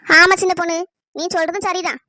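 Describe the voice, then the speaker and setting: Woman as a high-voiced, placating village neighbour. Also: flat delivery, fairly quick, bright, forceful tone, no room sound, clean studio sound. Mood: curious, chatty. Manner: chatty, placating. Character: older-sister village neighbour, trusting, sensible peacemaker. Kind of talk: cartoon village comedy dialogue.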